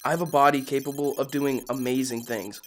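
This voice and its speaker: Very low, brooding captive. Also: female voice, fairly even intonation, brisk. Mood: bitter, determined. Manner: introspective, brooding monologue. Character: caged, angry captive with superhuman senses, brooding and defiant. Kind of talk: scripted audio drama narration. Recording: music under the voice.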